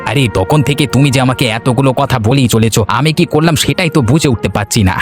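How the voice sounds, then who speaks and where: A man's voice: medium pitch, fast, music in the background, natural voice. A man voicing an honest, bewildered, henpecked village husband in a cartoon, plain-spoken and protesting. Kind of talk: Bengali cartoon voice acting.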